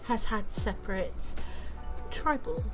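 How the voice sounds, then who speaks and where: A young woman streams a game in a fairly high, lively voice that moves around a lot. She is casual and slangy, reacting to the dialogue and reading it aloud over game music. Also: slow. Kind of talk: casual game playthrough commentary. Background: video game music.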